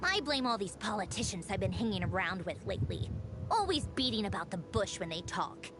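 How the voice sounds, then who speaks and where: A woman voicing a haughty, animated female engineer commander. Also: medium pitch, brisk, close to an everyday voice. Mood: teasing, flustered. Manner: dry, impatient, teasing. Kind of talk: scripted video game voice acting. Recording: music under the voice. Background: game music.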